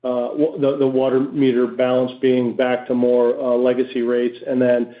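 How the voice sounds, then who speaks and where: A man answers questions on a corporate earnings call as a company executive. His delivery is measured and halting, with a lively rise and fall in pitch. The audio is thin and narrow, like a telephone line. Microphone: conference call line.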